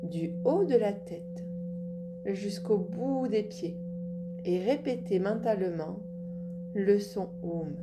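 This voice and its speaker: A woman leading a guided yoga nidra relaxation as an instructor, giving calm, measured instructions. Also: mid-range voice, very noisy.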